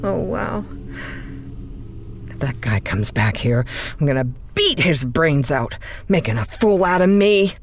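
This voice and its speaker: A woman acting in a radio drama as a rattled, snappy overnight radio hostess with halting, exclamatory speech. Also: low-pitched, big pitch swings, background noise, full character voice. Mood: flustered.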